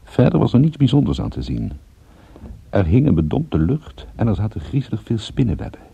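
Sardonic detective, husky gravelly voice, lively intonation, understated, radio play. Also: male voice, mid-range voice, a little fast, lo-fi sound, heavily acted. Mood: dry narration. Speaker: lead character and narrator. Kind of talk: radio drama narration and dialogue.

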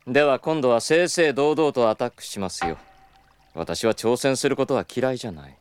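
A man playing a suave, stubborn, flirtatious club boss in an audio drama, with smooth polite speech that slips into teasing Kyoto dialect. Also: mid-range voice, normal speed, slight background noise, lightly acted. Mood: smug, provocative. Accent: Kyoto Japanese.

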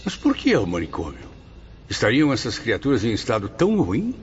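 Guy as troubled visitor in radio play, swingy pitch, muffled w/ faint music. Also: wildly swooping pitch, brisk. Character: troubled, awed male visitor asking questions. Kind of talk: dramatic radio play acting. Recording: dull lo-fi audio with music.

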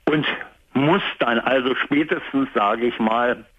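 An older man, a great-grandfather, calling a radio show and speaking haltingly and sincerely, often searching for words. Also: medium pitch, phone-quality sound. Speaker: caller. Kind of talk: phone-in conversation.

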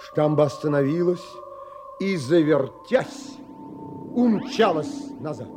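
A man's voice: fairly high pitch, expressive, slow pace, music in the background. An actor playing an earnest, troubled adventurer who tells his own story in theatrical, emotionally involved audio drama narration. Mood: tense, urgent. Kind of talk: dramatic first-person literary narration.

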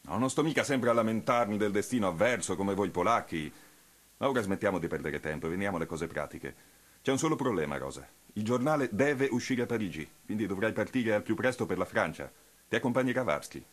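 An actor playing a brisk, impatient, domineering male political activist in a radio play, curt and commanding. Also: lowish voice, animated.

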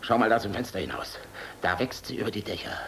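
An actor playing a defiant, world-weary middle-aged general in a German radio drama, sardonic, brooding and biting. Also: male voice, fairly low pitch, sing-song, fast talker, fairly bright tone. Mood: haunted, brooding. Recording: clearly noisy radio drama recording.

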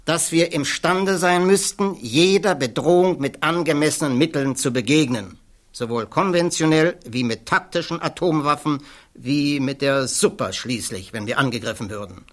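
An actor playing an irreverent, blunt physics professor giving testimony in a radio play, wry and outspoken. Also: male voice, a little high, normal speed, natural voice. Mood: sardonic. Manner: wry, outspoken, swears casually.

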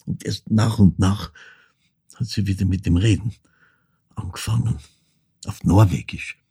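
An older actor with an Austrian accent playing a reflective ageing man who recounts his wartime childhood in a halting, hushed literary monologue. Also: deep voice, exaggerated voice. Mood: pensive, subdued. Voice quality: gravelly, husky. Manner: halting, deliberate, hushed, broken phrasing. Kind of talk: literary monologue, dramatic storytelling.

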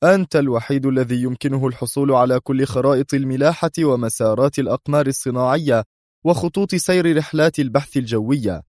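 A man's voice: mid-range voice, fast, darkish tone, no echo, studio quality, pitched above the performer's own voice, lightly acted. A man voicing a stern defence minister in an audiobook, clipped and commanding. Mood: stern, sharp. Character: stern, sharp-tongued defence minister accusing a traitor.

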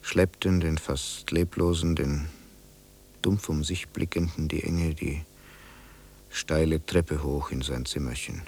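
A sombre eyewitness narrating a radio drama. The voice is extremely low and gravelly, slow and deliberate in its descriptions, with a faint hum in the recording. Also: male voice, fairly even intonation, darkish tone, exaggerated voice. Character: sombre witness recalling carrying a near-lifeless man upstairs.

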